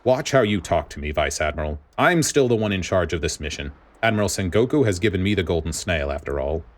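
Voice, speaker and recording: A man's voice: sits low, expressive, brisk. A man voicing a grating, condescending government director in an audiobook-style story, with dramatic, sugary fake pleasantness.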